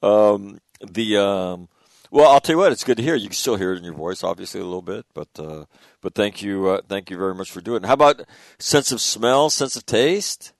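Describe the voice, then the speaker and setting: A male host with a very deep, low voice who asks questions casually and slips in friendly jokes. The sound is dry and close, with no room echo. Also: fairly quick, creaky. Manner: friendly, joking asides. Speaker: interview host. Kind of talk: casual interview.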